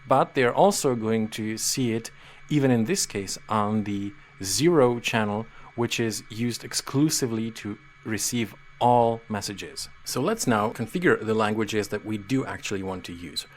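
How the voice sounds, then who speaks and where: Patient man explaining software. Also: lowish voice, a little slow, a little hiss or hum. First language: Czech. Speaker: software tutorial presenter. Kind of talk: screen-reader software walkthrough.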